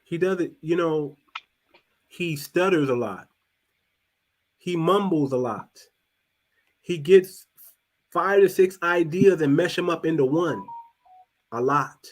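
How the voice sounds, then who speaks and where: A man hosting a casual talk show, speaking loosely, repeating and restarting words, and dropping his voice low for emphasis. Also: takes it slowly, pauses often. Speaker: podcast host.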